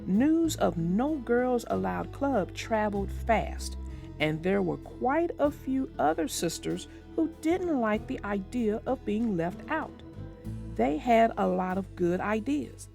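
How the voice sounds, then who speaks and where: A woman reads and talks through a children's story in a fairly high, very animated voice. Her phrasing is emphatic and deliberate, and music plays behind her. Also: vocal fry. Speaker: children's book narrator. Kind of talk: expressive storybook read-aloud with informal commentary.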